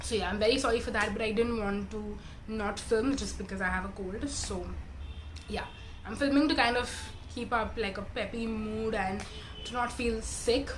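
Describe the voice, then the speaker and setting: Hoarse, congested woman chatting to camera. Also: on the high side, fairly even intonation, normal speed, a little hiss or hum, low-quality recording. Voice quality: raspy. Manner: chatty, self-correcting, filler-heavy. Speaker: beauty vlogger. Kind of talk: casual skincare review to camera.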